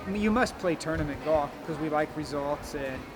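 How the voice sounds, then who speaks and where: A man who is a college golf coach, answering interview questions in a plain, steady, encouraging way. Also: a little high, normal speed, over music. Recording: noisy outdoor recording.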